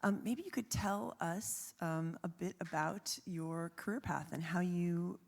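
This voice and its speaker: A woman moderating a festival panel, asking a guest questions in an unhurried way. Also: on the low side, creaky. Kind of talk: interview questions.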